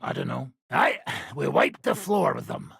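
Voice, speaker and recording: An actor playing a coarse, combative medieval mercenary commander in a video game, with a gravelly, raspy voice and a blunt, profane, gruff manner. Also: male voice, medium pitch, sing-song, a little slow, fairly bright tone, no echo, clean studio sound, heavily acted. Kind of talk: video game character acting.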